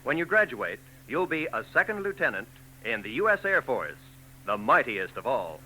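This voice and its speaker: A man's voice: medium pitch, very animated, moderate pace, sharp tone, slightly rough, rough recording. A man reading a recruiting announcement and show introduction in a formal, emphatic 1940s radio style. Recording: old radio broadcast tape with faint noise.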